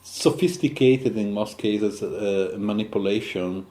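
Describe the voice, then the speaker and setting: A man's voice: lowish voice, measured pace, mellow, reverberant. A man with an Italian accent hosting a podcast interview, hesitant with many filler sounds. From Italy. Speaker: podcast host. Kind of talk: interview introduction.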